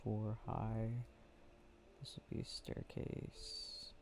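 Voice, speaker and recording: A man speaking softly and drowsily in an ASMR boyfriend roleplay, murmuring with unhurried pauses. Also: on the low side, completely flat delivery, creaky, a little hiss or hum. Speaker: ASMR roleplay audio creator. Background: game clicking sounds.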